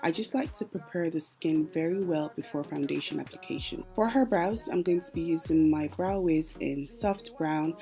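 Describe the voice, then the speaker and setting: A woman's voice: low-pitched, normal speed, hardly any pauses, mellow, faint music behind. A young woman narrating a makeup tutorial, chatty and explaining each step as she works. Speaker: makeup artist.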